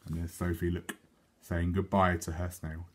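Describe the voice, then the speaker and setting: A man reading a children's story aloud like a teacher, in a measured, expressive way. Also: low voice, slight background noise. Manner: measured, animated.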